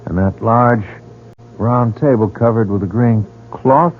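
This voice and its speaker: An actor playing a wary, curious newcomer. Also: male voice, on the low side, measured pace, slight background noise, lightly acted. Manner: inquisitive, wary. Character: curious, guarded male newcomer. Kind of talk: 1940s radio drama acting. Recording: phone-like old radio broadcast.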